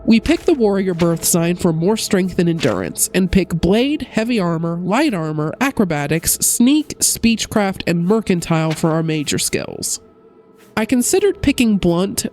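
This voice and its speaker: A woman giving a steady, explanatory gameplay narration as a gaming video creator, her voice a little off while she recovers from a cold. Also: lowish voice, normal speed, soft background music. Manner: steady, explanatory rule rundown. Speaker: gaming YouTuber.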